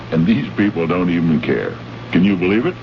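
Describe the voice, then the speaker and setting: A gravelly, deep-voiced greedy space envoy. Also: male voice, very animated, normal speed, full character voice. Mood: gloating, scornful. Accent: American. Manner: brash, condescending, slangy. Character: greedy, bullying male human trade envoy in space. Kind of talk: old-time radio drama acting. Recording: noisy, muffled old radio recording.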